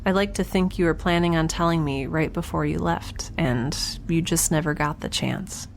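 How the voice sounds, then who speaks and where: A woman's voice: low voice, moderate pace, creaky, slight background noise. A woman playing a wry, anxious, wistful young woman alone in space, talking casually in an audio drama diary. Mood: wistful, reflective. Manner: casual, conversational, sardonic. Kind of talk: audio drama, first-person recorded diary.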